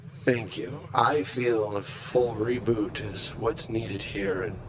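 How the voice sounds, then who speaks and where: A man voicing a calm, formal, quietly menacing ship computer program in a tabletop game. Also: fairly low pitch, background noise, pitched below the performer's own voice. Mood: coolly controlled. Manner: measured, formal, polite.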